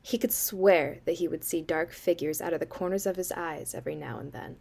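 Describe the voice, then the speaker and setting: A young woman acting as a sardonic, eerie campfire storyteller in a student audio drama, with dry, deliberate delivery. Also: lowish voice, vocal fry, a little mellow. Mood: ominous, measured. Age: young adult.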